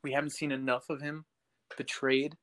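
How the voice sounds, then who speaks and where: A man's voice: medium pitch, level tone, moderate pace, fairly bright tone. A young man co-hosting a podcast, casually laying out his opinions point by point. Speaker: podcast co-host. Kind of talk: casual panel chat about TV.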